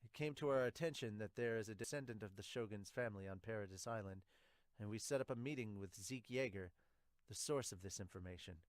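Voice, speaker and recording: A young man giving an amateur dramatic read-aloud of manga dialogue, somewhat halting, into a close mic. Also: on the low side, no room sound. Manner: halting reading with stumbles. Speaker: podcaster reading a manga aloud as an amateur dub. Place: small home room, indoors. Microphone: large studio mic with pop filter, close.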